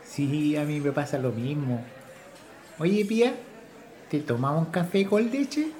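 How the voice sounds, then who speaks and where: A man plays a polite young Chilean journalism student in a radio play. His voice is soft-edged and mellow, his manner earnest. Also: a little high, expressive, measured pace. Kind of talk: natural radio drama dialogue.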